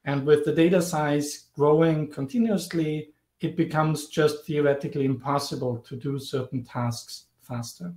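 A man's voice: fairly high pitch, fairly even intonation, normal speed, a little mellow. Austrian man lecturing over video call. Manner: clear, explanatory, lightly humorous. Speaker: guest lecturer, professor. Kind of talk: online guest lecture. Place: indoors, home or office, virtual background. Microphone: video call webcam. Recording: roomy video call audio.

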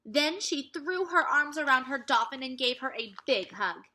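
A young adult woman reads a children's story aloud as a teacher, with expressive, clearly phrased narration. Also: high voice, normal speed. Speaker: teacher reading aloud to second graders. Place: furnished room at home, indoors.

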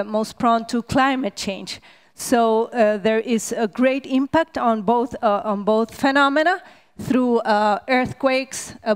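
Woman, hesitant, smooth voice. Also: on the high side. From Costa Rica. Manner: hesitant, many "uh" fillers, gestures while speaking. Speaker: panel guest, researcher. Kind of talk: panel discussion answer. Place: stage at a public talk event, indoors. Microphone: headset mic.

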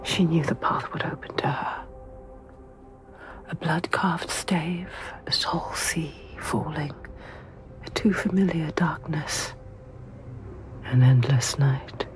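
A haunting voice inside someone's head in a fantasy game. She is low and half-whispered, insistent and ominous, with loud music underneath. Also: slow, long pauses. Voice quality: breathy whispering. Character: haunting inner voice, urgent female whisperer. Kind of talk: dark fantasy game acting.

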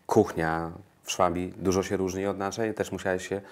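A man with a low-pitched voice interviews a guest indoors. He speaks as a sports journalist, setting up topics and putting questions. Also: flat delivery, normal speed, a little mellow.

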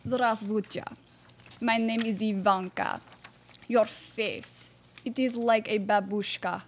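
A young woman playing a blunt, tough Russian bachelorette with a Russian accent in a staged radio play, deadpan and imperious. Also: medium pitch, slow, slight background noise. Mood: blunt, solemn. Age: young adult. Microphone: stage microphone on stand.

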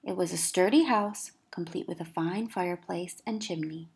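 A woman teacher reading a children's story aloud, with expressive, careful emphasis for young listeners. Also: fairly low pitch, moderate pace, vocal fry. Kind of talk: children's read-aloud narration.

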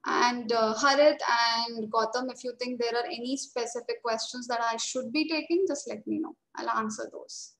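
A young woman with an Indian English accent teaches a webinar in a high, clear voice. She explains steadily and reassuringly. Her voice comes through a video call with a roomy echo. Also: fairly even intonation, moderate pace, hardly any pauses, a bit bright. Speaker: IELTS trainer.

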